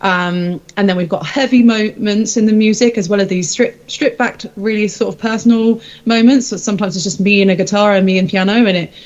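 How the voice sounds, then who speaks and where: A woman's voice: mid-range voice, fairly even intonation, fairly quick, hardly any pauses, darkish tone. Casual woman guest, slightly dull audio. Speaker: singer interviewed as a guest. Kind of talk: casual, conversational interview.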